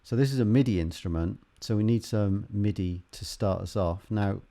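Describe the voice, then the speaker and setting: Low, creaky-voiced man giving a tutorial. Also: mellow. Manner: halting, thinking aloud while working. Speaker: music production instructor. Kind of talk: unscripted software tutorial, explaining while demonstrating.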